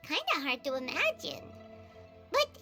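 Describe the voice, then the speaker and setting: A woman voicing a tiny, curious, outspoken childlike fairy companion in a video game, with a squeaky voice. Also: very animated, unhurried, pushed and bright, heavily acted. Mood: puzzled, curious. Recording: music behind the voice. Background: game music.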